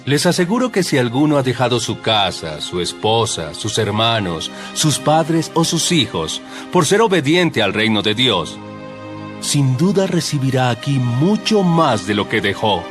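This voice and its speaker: A calm, solemn religious teacher addresses his followers in a dramatized audio Bible. The delivery is measured and emphatic, with wide swings in intonation. Music plays clearly behind him. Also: medium pitch. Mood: earnest teaching. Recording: background music under the voice.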